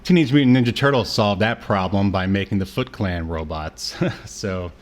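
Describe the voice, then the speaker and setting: A man's voice: lowish voice, normal speed. A man co-hosting a podcast, chatting casually about pop culture with hesitant, rambling phrasing and trailing asides. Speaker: podcast co-host.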